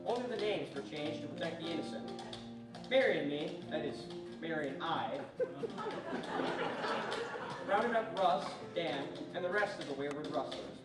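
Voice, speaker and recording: A man's voice: on the high side, expressive, moderate pace, pauses often, close to an everyday voice. A man playing a folksy, wily cowboy range detective in a stage Western radio show, speaking in a cowboy drawl with homespun bad grammar. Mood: folksy narrating. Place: theater stage with distant microphone. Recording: echoey stage recorded from far off, music.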